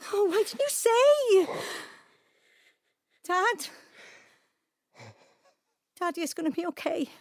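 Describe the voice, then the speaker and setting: An actress playing an anxious, peacemaking middle-aged daughter with a Welsh accent, earnest and placating, in a naturalistic audio drama. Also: female voice, extremely high pitch, no echo, clean studio sound, full character voice.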